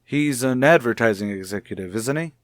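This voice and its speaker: Actor as a measured, probing male doctor, dry sound. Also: medium pitch, expressive, no room sound, natural voice. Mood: curious, probing. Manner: measured, deliberate, clinical questioning. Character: calm, probing, formal male child psychologist. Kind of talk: vintage radio soap opera acting.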